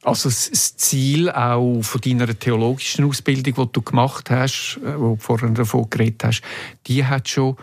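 A man hosting a conversational interview, putting his reflective questions in halting, wordy phrases. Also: medium pitch, fairly even intonation, no room sound, clean studio sound. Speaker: interviewer, host.

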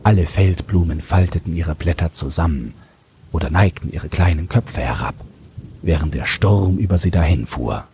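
A man's voice: sits low, normal speed, darkish tone. A man narrating a scripted fairy tale as a storyteller. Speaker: storyteller and podcast host. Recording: faint background noise.

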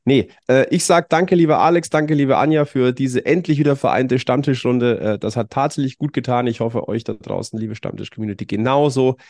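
A man hosting a casual podcast, a little hoarse from a cold, chatty and upbeat with frequent filler words. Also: medium pitch, a little fast, few pauses, darkish tone, no room sound, clean studio sound. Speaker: podcast host.

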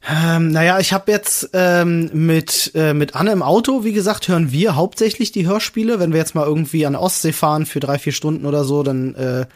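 A man with a fairly high voice and a German accent chats as a podcast co-host. His delivery is colloquial and agreeable, working out his thoughts as he speaks. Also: steady tone, a little fast, hardly any pauses. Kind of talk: casual podcast chat.

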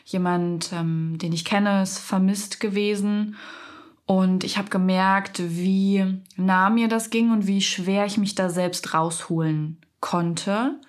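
Slow, softly nasal young woman with a cold. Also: mid-range voice, fairly even intonation. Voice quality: slightly nasal. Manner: slow, thoughtful, pausing often with soft fillers. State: stuffy nose from a cold. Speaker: podcast host. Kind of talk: reflective solo podcast monologue.

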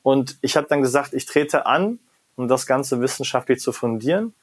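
A middle-aged German man, a professor, answering interview questions in a reflective, animated way and often ending phrases with "ja?" or "ne?". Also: medium pitch, moderate pace, husky. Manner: reflective, animated, frequent "ja?" and "ne?" tags. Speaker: guest, professor of creativity and design.

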